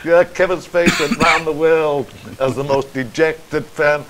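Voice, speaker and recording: A man's voice: a little high, steady tone, a little slow, a crowd in the background. A man hosting a casual chat, jovial and rambling, laughing easily with his guests. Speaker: host.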